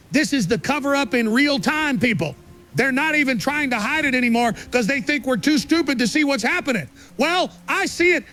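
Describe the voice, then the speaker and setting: An actor playing an agitated, paranoid conspiracy broadcaster, delivering an urgent, insistent monologue full of rhetorical emphasis in an audio drama. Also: male voice, extremely high pitch, fairly bright tone, exaggerated voice. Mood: agitated, incredulous. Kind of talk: ranting conspiracy talk show monologue. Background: music.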